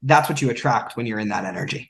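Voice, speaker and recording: A young man in his twenties talks like a coach, pressing his points with emphasis and repeating them. He sits at an ordinary mid pitch and slips into little acted voices to make his case. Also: fast, fairly bright tone. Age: 20s. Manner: emphatic and coaching, acts out quotes. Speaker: podcast guest, entrepreneur. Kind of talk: motivational conversation.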